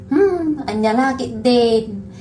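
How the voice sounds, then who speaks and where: A woman's voice: a little high, lively intonation, slow, warm tone, a little hiss or hum. A woman with a Filipino accent playing a blunt best friend who chides and gives advice in a comedy radio drama. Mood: advising. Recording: music behind the voice.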